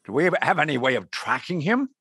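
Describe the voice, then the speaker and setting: A man's voice: on the high side, sing-song, brisk, background noise. A man playing an exasperated, bossy intelligence chief in a radio play, blustering. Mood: incredulous.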